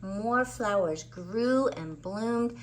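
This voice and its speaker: A woman's voice: mid-range voice, drawn-out words, a little hiss or hum, poor audio. A woman hosting story time, narrating a children's book expressively with dramatic emphasis on words. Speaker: children's story reader and host.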